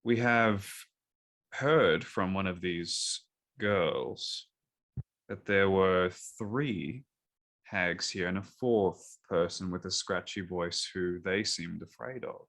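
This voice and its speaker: A man plays his character in a tabletop game. He speaks low and unhurried. His phrasing is formal and deliberate. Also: a bit bright. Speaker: player.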